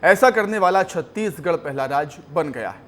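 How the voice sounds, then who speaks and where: A man's voice: fairly high pitch, animated, moderate pace, fairly bright tone, slight background noise. A man anchoring live television news in an emphatic way, often repeating phrases for stress.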